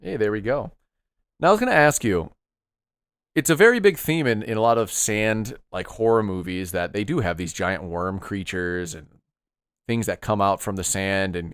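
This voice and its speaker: A man's voice: lowish voice, expressive, normal speed, smooth, dry recording, clean studio sound. A man hosting a podcast, chatting casually about retro games in an upbeat, agreeable way and asking his co-host questions.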